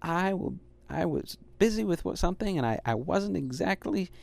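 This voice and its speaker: A man doing a gentle mother dog. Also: high-pitched, animated, normal speed, vocal fry, voice pushed up. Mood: reassuring. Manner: gentle, reassuring, slightly apologetic. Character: kind, patient mother dog.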